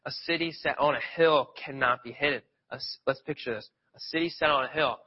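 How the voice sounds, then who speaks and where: A man's voice: medium pitch, fairly quick, breathy edge. A man with a cold, sniffling a little, giving an informal, conversational sermon and wandering into loose stories with restarts. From Pennsylvania, near Philadelphia. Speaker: lay preacher. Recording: dull lo-fi sound.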